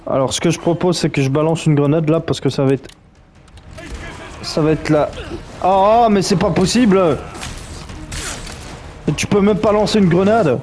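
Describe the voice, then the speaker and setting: A man's voice: a little high, fairly even intonation, moderate pace, pauses often, darkish tone, soft background music. A man commenting on a game as he plays, in a chatty, joking, reactive way. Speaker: gaming streamer. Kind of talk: let's play commentary.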